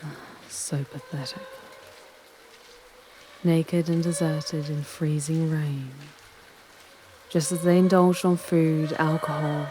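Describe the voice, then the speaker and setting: A woman's voice: sits low, noisy background. A woman playing a disdainful, sultry female demon guide in an ASMR roleplay, speaking formally and theatrically to the listener. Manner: haughty, theatrical, formal phrasing.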